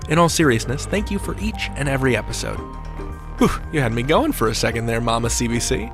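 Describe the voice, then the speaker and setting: A man's voice: mid-range voice, expressive, fast, music in the background. A man hosting a podcast with scripted narration in a wry, playful manner. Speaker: podcast host.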